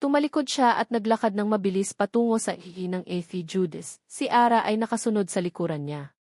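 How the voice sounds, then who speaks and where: A woman narrates a dramatic audiobook in an emotive storytelling manner. Her pitch sits in the middle for a woman. The sound is dry, with very little room around it. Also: expressive, a little fast, a little mellow, dry recording.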